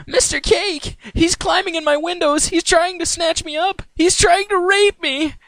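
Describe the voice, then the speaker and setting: A man voicing a hysterical female pink cartoon pony in a shrill, strained falsetto, yelling frantically. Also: steady tone, a little slow, full character voice. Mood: frantic alarm.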